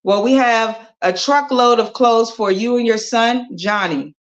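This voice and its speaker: A woman voicing a male delivery truck driver who speaks plainly and slowly. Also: fairly high pitch, muffled recording, natural voice. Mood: matter-of-fact.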